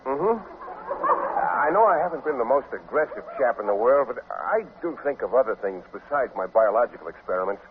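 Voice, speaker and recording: An actor playing a bashful, earnest biology teacher who speaks in hesitant, wordy phrases in a 1950s radio comedy. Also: male voice, mid-range voice, sing-song, moderate pace, lightly acted. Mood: shy, halting. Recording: tinny old radio broadcast, faint noise.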